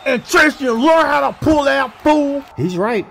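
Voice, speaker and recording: Male comic voiceover artist: very lively intonation, sweary exclamatory trash talk, over noisy game sound. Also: extremely high pitch, measured pace, creaky. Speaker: comedy voiceover creator.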